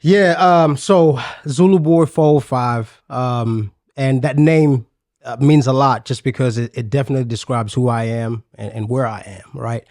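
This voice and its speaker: Guest voice: South African-born man, mid-pitched, dry close mic, thoughtful storyteller. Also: a little mellow, no echo. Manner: reflective, unhurried storytelling with frequent hesitations. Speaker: podcast guest, musician. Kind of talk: casual interview.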